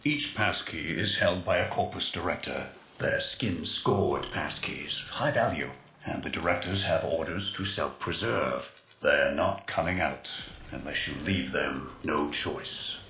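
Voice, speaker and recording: Deep, grave rebel strategist. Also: male voice, a little slow, pushed and bright, slight background noise, full character voice. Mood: grave, informative. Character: stern, measured male resistance strategist. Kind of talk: video game mission briefing. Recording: echoey game audio over music.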